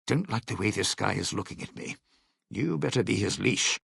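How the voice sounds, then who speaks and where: An actor playing a smug, suspicious old lounge singer and thief in a video game, with a raspy, gravelly voice and a sardonic showman's delivery. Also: fairly low pitch, lively intonation, normal speed, a bit bright, dry recording, clean studio sound, heavily acted. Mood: wary, mocking. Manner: sardonic, slangy showman's patter. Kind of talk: in-game character dialogue and epilogue narration.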